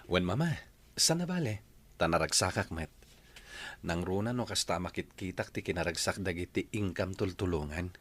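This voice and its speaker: A man's voice: low voice, expressive, normal speed, fairly bright tone. A man with a Filipino Ilocano accent playing an earnest, dutiful husband and businessman son in a radio drama. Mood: agreeable.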